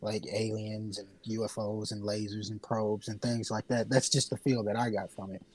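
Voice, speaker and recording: A man's voice: on the low side, level tone, moderate pace, husky, poor audio. A man co-hosting a podcast, talking about games in an informal, rambling way with the odd swear word. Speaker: podcast co-host. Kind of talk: casual chat about video games.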